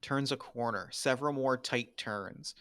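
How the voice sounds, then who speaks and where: A man's voice: medium pitch, measured pace, fairly bright tone, smooth, dry recording. Man reading stage directions, dry close sound. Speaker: stage-direction narrator at a table read. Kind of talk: scripted narration of screenplay action lines.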